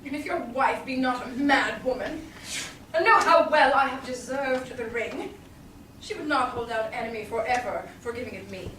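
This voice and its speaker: A woman's voice: a little high, moderate pace, fairly bright tone, natural voice. A woman playing a composed, clever lawyer in disguise in a Shakespearean stage reading. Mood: teasing, insistent. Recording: distant echoey small theatre, background noise.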